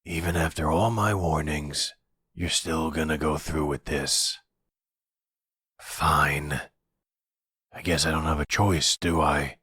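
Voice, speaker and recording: A man acts out a middle-aged mafia father in exile for an audio roleplay. His voice is extremely low and very raspy, and he speaks slowly, brooding and resigned, with bitterness under the weariness. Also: fairly even intonation, pushed and bright, dry recording, studio quality, exaggerated voice. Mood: grudging. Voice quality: very deep, gravelly, husky. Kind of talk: ASMR audio roleplay drama.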